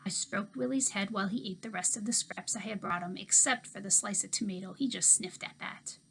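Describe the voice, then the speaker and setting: A woman teacher reading a children's novel aloud to her class with expressive storybook delivery. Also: mid-range voice, fairly quick, gravelly, slight background noise.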